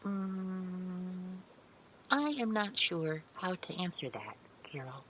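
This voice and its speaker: A meek, literal-minded female spirit servant acted by a woman speaks slowly in formal, stilted phrases. The fairly low voice rises and dips widely, with small hesitant hums, in an audio drama with faint hiss. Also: expressive, a bit bright, rough recording. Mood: uneasy, careful. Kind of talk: scripted audio drama roleplay.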